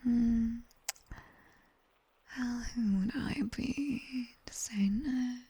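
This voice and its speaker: A British woman playing a strict, teasing, seductive demoness tutor in an intimate ASMR roleplay, with breathy whispers and a condescending yet coaxing delivery, close on a binaural mic. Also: on the high side, mellow, exaggerated voice. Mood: seductive, commanding. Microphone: close binaural microphone.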